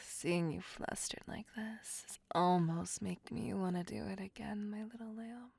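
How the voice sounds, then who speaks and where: A woman's voice: medium pitch, vocal fry, darkish tone, breathy edge, dry recording, exaggerated voice. A woman playing a seductive, possessive vampire in an intimate ASMR roleplay, whispering breathily with formal, courtly tenderness. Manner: slow, intimate, formal, coaxing endearments.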